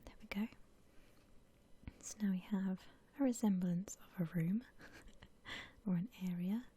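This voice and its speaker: A woman whispers her way through a game in ASMR style. Her voice is very breathy and almost unvoiced, soft and mellow in tone. She takes her time, relaxed and gentle, with slow phrasing. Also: mid-range voice. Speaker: video game streamer narrating her building session.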